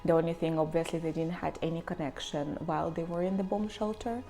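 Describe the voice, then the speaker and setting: Young woman, Ukrainian accent, fairly low voice, halting and near tears, faint music behind. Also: fairly even intonation, dark tone. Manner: emotional, halting, near tears, with many pauses and fillers. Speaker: vlogger. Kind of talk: personal storytelling to camera.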